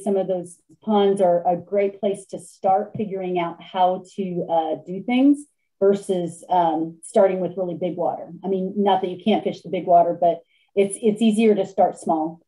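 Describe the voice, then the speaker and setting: A woman's voice: on the low side, warm tone, rough recording. A woman with a Midwestern American accent moderating a webinar on a video call, relaying questions in a hesitant way with many ums. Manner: hesitant, filler-heavy.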